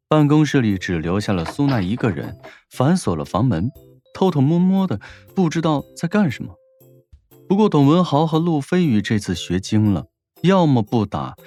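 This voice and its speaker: A man's voice: medium pitch, darkish tone. A man narrating a scripted audiobook story in a wry storytelling manner.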